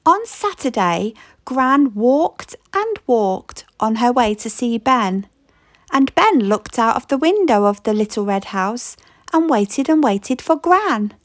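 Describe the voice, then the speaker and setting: Slow, lively woman reading a picture book. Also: fairly high pitch, dry recording, clean studio sound. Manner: slow, expressive read-aloud with playful rhythm. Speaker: storybook reader.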